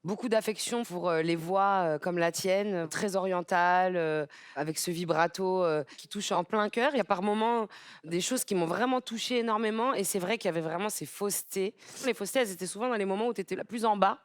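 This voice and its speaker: A woman talent show coach giving gentle, halting feedback full of pauses. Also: mid-range voice, smooth, no room sound, clean studio sound. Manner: hesitant, full of fillers. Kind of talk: judge feedback.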